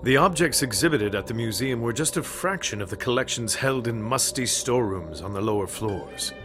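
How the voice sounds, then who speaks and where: A man's voice: mid-range voice, animated, moderate pace, lightly acted. A man reading scripted narration as the narrator of a radio drama. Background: music.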